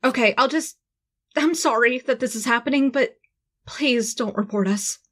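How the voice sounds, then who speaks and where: A woman's voice: on the high side, normal speed, fairly bright tone. A woman playing a hot-headed, loyal young female impostor in a comedic audio drama, emphatic and informal. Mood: pleading, gentle.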